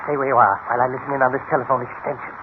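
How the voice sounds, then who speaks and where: A man playing a smooth, formal and commanding criminal mastermind in an old radio serial. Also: medium pitch, flat delivery, rapid-fire, lightly acted. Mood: menacing, suspicious. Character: suave, menacing male crime boss, the Yellow Mask. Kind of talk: 1940s radio serial acting. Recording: tinny, noisy vintage radio recording.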